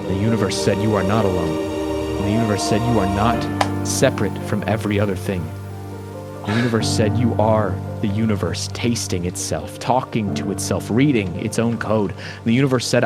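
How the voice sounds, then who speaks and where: A man giving a solemn, theatrical reading of a poem in a storytelling style, with deliberate pauses. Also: on the low side. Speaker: dramatic reader. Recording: voice laid over background music. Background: music.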